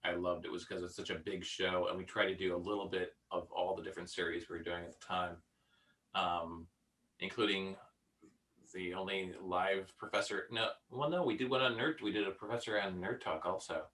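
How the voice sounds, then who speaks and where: A man, a voice actor, chatting casually in a halting, rambling way. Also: low-pitched, steady tone, a bit bright, recorded from a distance, slight background noise. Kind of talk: casual chat.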